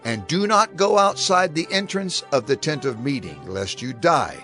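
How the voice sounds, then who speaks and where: A man's voice: a little high, sing-song, moderate pace, pushed and bright. An actor playing a commanding male prophet in a dramatized scripture reading, solemn and authoritative. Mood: stern, urgent. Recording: background music under the voice.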